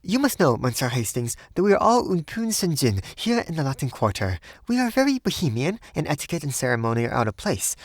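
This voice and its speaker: Man voicing a soft, high-pitched young Parisian woman. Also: no room sound, clean studio sound, exaggerated voice. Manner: hesitant, earnest, delicate. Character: young Parisian woman, shy, nervous, secretive, bohemian. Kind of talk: audiobook character voice.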